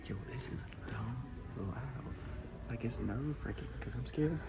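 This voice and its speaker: A man's voice: medium pitch, a little slow, heavy background noise. A man chatting casually and joking with slang and swearing, as a prank vlogger making mock announcements. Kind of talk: casual prank vlog chat with mock announcements into a walkie-talkie. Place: inside a large retail store.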